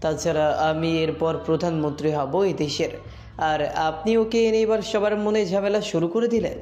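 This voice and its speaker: A man's voice: on the high side, fairly even intonation, brisk, a little mellow, lo-fi sound, faint music behind, lightly acted. A man voicing an indignant ambitious young politician. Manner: defiant, indignant. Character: proud elder son, an ambitious young politician. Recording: echoey room.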